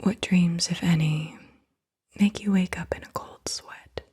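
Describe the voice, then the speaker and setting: A woman whispers in a very breathy, airy voice with a bright, hushed edge. She reads questions gently and unhurriedly as an ASMR host, pitched a little low for a woman. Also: fairly even intonation, unhurried. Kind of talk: soft-spoken whispered ASMR, reading questions. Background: computer keyboard typing.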